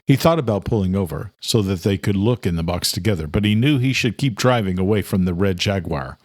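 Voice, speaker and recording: A man's voice: low voice, lively intonation, a little fast, darkish tone, no echo, clean studio sound. A man reading as an audiobook narrator, in a scripted thriller style.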